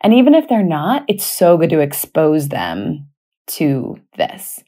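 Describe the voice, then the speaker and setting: Female podcast host, teacher type: mellow, breathy-husky tone, casual, chatty delivery. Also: low voice, wildly swooping pitch, takes it slowly. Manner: informal, anecdotal, conversational. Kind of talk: casual spoken podcast talk.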